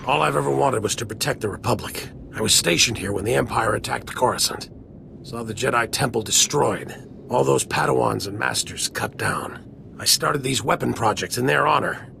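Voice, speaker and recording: An actor playing a resolute military general in a video game, grave and commanding. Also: male voice, mid-range voice, moderate pace, vocal fry, noisy background. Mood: solemn, resolute. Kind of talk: video game character acting. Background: music.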